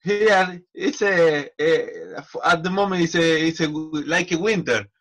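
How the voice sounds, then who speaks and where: A man, a football coach, talking in a casual interview in halting non-native English with many restarts. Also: fairly high pitch, a bit bright, a little hiss or hum.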